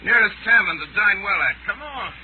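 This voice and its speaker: An old, muffled 1930s radio recording with heavy noise carries a bitter gentleman wastrel. He drawls and rambles as if tipsy, full of sardonic self-loathing. His pitch rises and plunges theatrically. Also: animated, fairly quick. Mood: sardonic, goading. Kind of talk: 1930s radio drama acting.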